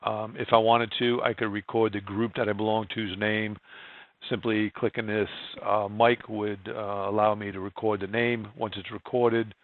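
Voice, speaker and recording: A man narrating a screen-share software tutorial, explaining each step in an informal, plain-spoken way. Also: on the low side, level tone, normal speed, dry recording, phone-quality sound. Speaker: software trainer.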